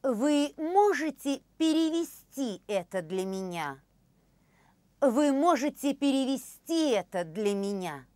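Woman, thirties, high lively voice, slow deliberate phrases, dry studio. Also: no room sound. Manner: repeats each phrase slowly and carefully. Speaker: language course speaker. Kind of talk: slow, clear phrase demonstration. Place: studio with orange backdrop.

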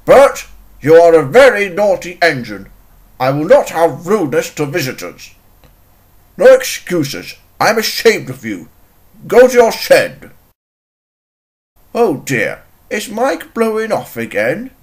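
A man voicing a small railway controller from a children's cartoon, a dry and chiding gentleman. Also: fairly high pitch, very animated, moderate pace, few pauses.

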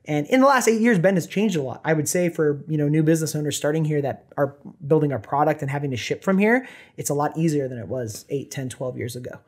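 A man in his thirties from Southern California, a company founder talking as a podcast guest, animated and full of "you know" fillers. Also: fairly high pitch, fast. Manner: animated, gestures a lot, many fillers like "you know" and "right". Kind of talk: casual interview talk. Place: small podcast studio with bookshelves. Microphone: desk microphone on boom arm.

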